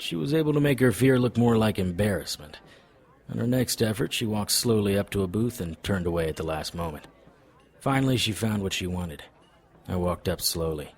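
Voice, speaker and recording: A man's voice: lowish voice, breathy edge. A man playing a wry, world-weary paranormal investigator in an audio drama, narrating and talking with dry wisecracks. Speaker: lead character and narrator.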